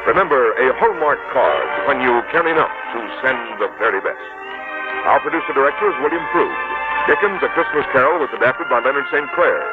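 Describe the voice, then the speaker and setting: A male announcer from 1950s radio, formal and polished in his scripted delivery. His mid-range voice comes through a tinny, narrow broadcast sound with clear music behind it. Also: mid-range voice, expressive. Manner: formal, polished, deliberate phrasing.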